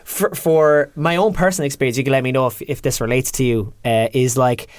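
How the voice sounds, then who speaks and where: A woman's voice: a bass voice, steady tone, a little fast, a little mellow, no echo. A woman hosting a casual music interview on radio.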